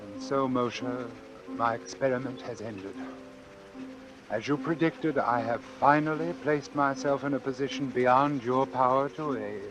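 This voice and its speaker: A man acting as an anxious, doomed man in an old suspense radio play. He speaks haltingly and with measured care, over music and rain on a vintage radio recording. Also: medium pitch, steady tone, lightly acted, poor audio. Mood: resigned. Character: anxious doomed man who arranged his own killing.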